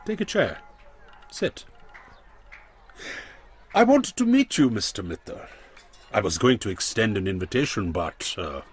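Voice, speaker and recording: A menacing radio drama villain with an Indian accent and a husky voice. His pitch swoops dramatically as he offers mock courtesy, pausing to taunt with smug relish. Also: huge pitch swings, exaggerated voice. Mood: smooth menace. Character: menacing, smug male crime boss with theatrical courtesy.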